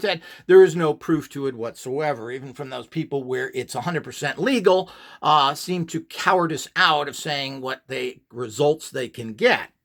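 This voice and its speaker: A man's voice: a little high, fairly bright tone. A middle-aged man giving an unscripted instructional talk, emphatic and self-assured, often stopping to correct himself. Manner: frequent fillers and self-corrections. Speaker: instructional video host.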